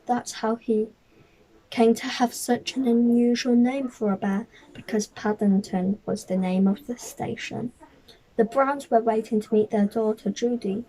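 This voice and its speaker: A woman reading a children's picture book aloud as a storyteller, careful and a little halting, stumbling over the odd word. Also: fairly high pitch, mellow, rough recording.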